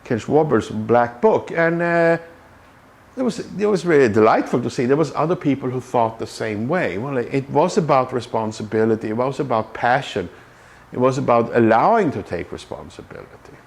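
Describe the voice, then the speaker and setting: A man's voice: medium pitch, expressive, normal speed, creaky. Man giving a casual talk. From Sweden. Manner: conversational, frequent fillers and restarts. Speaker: Scrum trainer. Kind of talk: conference talk. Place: meetup room.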